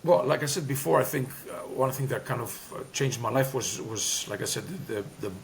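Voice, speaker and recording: Greek accent guy, kinda gravelly, talks a lot w/ lots of uhs, bit of background hiss. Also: medium pitch, a little fast. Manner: talkative, emphatic, many fillers and restarts. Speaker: guest, former player and coach. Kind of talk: candid interview talk.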